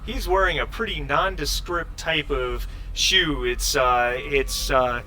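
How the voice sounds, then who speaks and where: A man running a tabletop roleplaying game as game master, halting as he thinks aloud. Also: on the high side, pushed and bright, a little hiss or hum.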